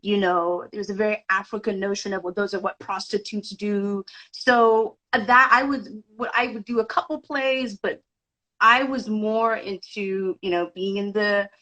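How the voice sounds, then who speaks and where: A woman's voice: mid-range voice, fairly even intonation, a little slow, bright, forceful tone, low-quality recording. A woman from Zimbabwe, a guest actor and director, telling her story in a podcast interview with frequent restarts.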